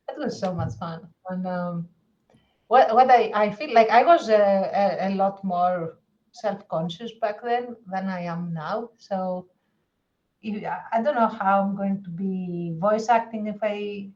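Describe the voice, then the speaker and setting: A woman's voice: medium pitch, a little slow, muffled recording. A woman co-hosting a podcast, chatting casually in a hesitant, self-deprecating way. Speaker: podcast co-host. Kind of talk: casual chat.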